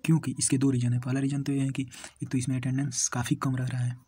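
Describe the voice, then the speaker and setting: A young man with a husky voice, talking informally through an exam review, thinking aloud, repeating himself and dropping in English words. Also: mid-range voice, completely flat delivery, fast, mellow, a little hiss or hum. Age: young adult. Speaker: exam candidate giving paper analysis and advice.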